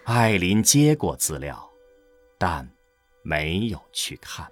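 A man's voice: low-pitched, big pitch swings, slow. A male narrator reads a scripted audio drama over faint music. He takes his time, and his mid-range voice moves expressively up and down.

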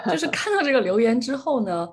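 A woman's voice: high-pitched, sing-song, a little fast, husky, soft background music. A woman co-hosting a podcast, chatting casually and reading out listener messages in a chatty, easily amused way. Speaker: podcast co-host.

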